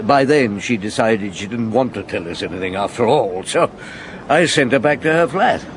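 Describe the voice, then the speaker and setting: An actor playing a seasoned, wry police chief inspector telling of old cases in a conversational radio drama. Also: male voice, medium pitch, animated, normal speed, background noise, lightly acted. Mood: wry, recounting.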